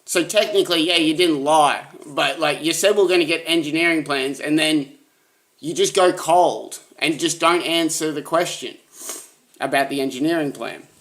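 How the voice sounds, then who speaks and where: A man's voice: on the high side, normal speed, fairly bright tone. A man pressing his point in a heated argument, frustrated and repeating himself. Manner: insistent, frustrated, repetitive.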